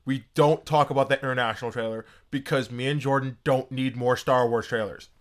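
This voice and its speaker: Excitable man chatting on a podcast. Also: medium pitch, a little fast. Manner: excitable, jokey fan talk. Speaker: podcast co-host. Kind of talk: casual chat.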